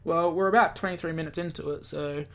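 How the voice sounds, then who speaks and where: A man's voice: on the high side, fairly even intonation, fast talker, a bit bright. A male gaming YouTuber reading a visual novel aloud and adding casual commentary. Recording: game music behind. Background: visual novel game music.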